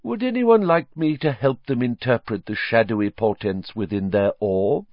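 A man putting on a dreamy, hushed female seer. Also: mid-range voice, dry recording, pitched above the performer's own voice, close to an everyday voice. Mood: mystical. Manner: hushed, mystical, drawn-out. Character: airy, dreamy female seer, an old teacher. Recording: dry, slightly dull lo-fi.

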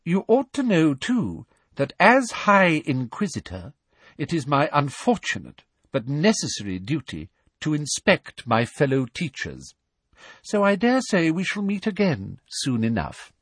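A man reading aloud, voicing a prim, cold woman official with a falsely sweet, high manner. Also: wildly swooping pitch, measured pace, fairly bright tone, slightly rough, no echo, exaggerated voice. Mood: sweetly menacing.

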